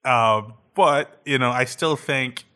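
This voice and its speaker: Man, thirties, podcast host, casual chat, animated, laughs often, bright forceful tone. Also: medium pitch, slow, smooth.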